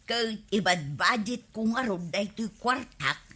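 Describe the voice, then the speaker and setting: A woman's voice: mid-range voice, animated, measured pace, sharp tone, slightly rough, exaggerated voice. A woman playing a stubborn, tight-fisted village customer who haggles and grumbles insistently in a comedy radio drama. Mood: stubborn haggling.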